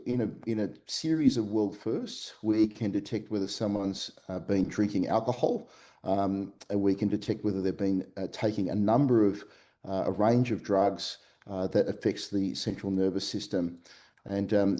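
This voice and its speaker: A man with an Australian accent, a company CEO answering podcast interview questions remotely, halting often with fillers and restarts. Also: lowish voice, mellow. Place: remote call from Melbourne.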